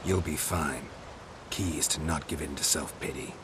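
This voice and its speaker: Very deep, stoic monster hunter. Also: male voice, normal speed, noisy background. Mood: calm, matter-of-fact. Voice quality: low, gravelly. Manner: deadpan, terse. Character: gruff, stoic male monster hunter with dry humour. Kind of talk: video game voice acting.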